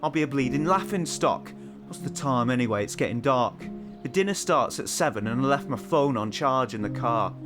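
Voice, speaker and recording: A man's voice: medium pitch, lively intonation, normal speed, over music, natural voice. A man voicing an impatient, blustering middle-aged father in an audio story, irritable and worried about appearances. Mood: irritated, worried. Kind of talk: audio fiction character dialogue.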